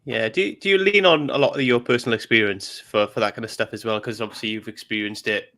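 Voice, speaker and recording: Host: man with a lowish, bright, forward voice, curious, stumbling over his words. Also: quick pace. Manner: stammering restarts, friendly and curious. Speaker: podcast host. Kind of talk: casual interview questions.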